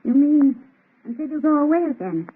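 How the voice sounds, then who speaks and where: A woman's voice: high-pitched, animated, fairly quick. A woman playing a devoted young fiancée in an old radio drama, earnest and romantic in delivery. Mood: tender, happy. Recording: tinny vintage radio recording.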